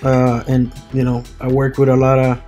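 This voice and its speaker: Even-toned man with heavy Puerto Rican accent. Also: medium pitch, normal speed, soft background music. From Puerto Rico. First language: Spanish. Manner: hesitant, repetitive step-by-step explaining. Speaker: barber giving a tutorial. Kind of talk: informal instructional voice-over.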